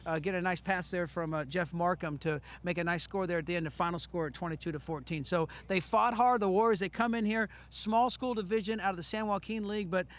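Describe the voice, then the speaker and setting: High-voiced upbeat sportscaster man. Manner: brisk, upbeat play-by-play delivery. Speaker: sportscaster host. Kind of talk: post-game sports commentary. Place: outdoors on a football field at night. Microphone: handheld mic.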